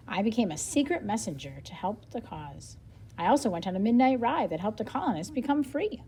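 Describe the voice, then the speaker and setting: A woman reading a nonfiction book aloud as a lesson for children, expressively, pausing to explain things simply and think out loud. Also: mid-range voice, sing-song, a little hiss or hum.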